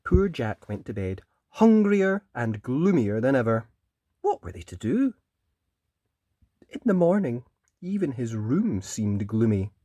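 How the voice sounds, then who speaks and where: A children's storyteller reads a storybook with dramatic, emphatic pauses. The pitch swoops widely, and the recording is close and dry. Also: very animated, mellow, dry recording. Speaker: children's story reader, channel host. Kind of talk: animated storybook narration.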